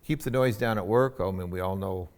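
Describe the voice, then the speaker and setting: A man giving a university lecture on etiquette, with a loud, emphatic voice and frequent fillers. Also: low-pitched, brisk.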